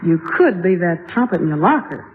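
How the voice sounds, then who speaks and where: A woman's voice: lowish voice, big pitch swings, fairly quick. Friendly young woman, tinny radio. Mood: gently teasing. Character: friendly, gently teasing young female band singer. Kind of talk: 1950s radio drama acting. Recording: noisy, tinny vintage radio broadcast.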